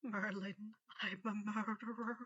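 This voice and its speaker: A woman's voice: mid-range voice, little pitch movement, a little slow, pushed and bright, dull audio. A woman playing a frightened, guilt-stricken young boy wizard in a story, gasping and urgent. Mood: panicked.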